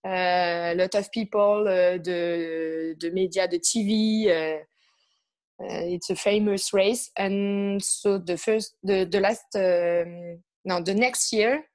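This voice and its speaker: Slow-talking French woman, halting English. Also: mid-range voice, fairly even intonation, a little mellow. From France. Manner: hesitant, halting English with many fillers. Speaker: guest, motorcycle racer. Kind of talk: casual interview chat.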